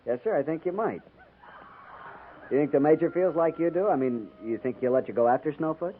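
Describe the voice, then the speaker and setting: Casting: male actor for respectful cavalry lieutenant, 1950s radio western, expressive mid voice, faint hiss, earnest military manner. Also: fairly high pitch, a little fast, narrow, tinny audio, close to an everyday voice. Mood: questioning. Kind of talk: 1950s radio drama acting. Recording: thin, faintly noisy 1950s radio recording.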